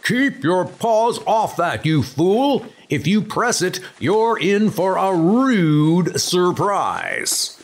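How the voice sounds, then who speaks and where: A man's voice: sits high, big pitch swings, drawn-out words, few pauses, slight background noise, full character voice. A man voicing a stern, fretful talking-animal scientist in a comic dub, speaking imperiously. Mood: indignant. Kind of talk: comic dub character acting. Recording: music under the voice.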